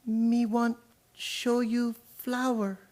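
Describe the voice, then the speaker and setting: A woman voicing a simple, timid, childlike factory worker in a radio drama, in halting broken speech. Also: on the high side, flat delivery, a little mellow. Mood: innocent, hesitant.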